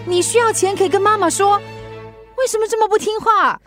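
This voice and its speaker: A woman acting a strict, exasperated mother in a Mandarin radio drama, scolding and quick to anger. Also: extremely high pitch, steady tone, a bit bright, soft background music. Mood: hurt, scolding. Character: strict, exasperated working mother of a teenage girl.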